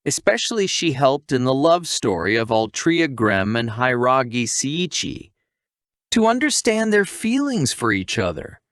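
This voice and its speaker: A man's voice: mid-range voice, a bit bright, no room sound, clean studio sound. A man narrating a scripted story recap as a channel host, with playful asides and questions to the viewer.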